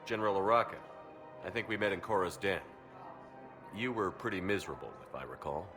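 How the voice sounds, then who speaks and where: An actor playing a composed, commanding military commander in a science fiction game, measured and direct. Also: male voice, low-pitched, animated, over music. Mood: calm, direct. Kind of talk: video game acting.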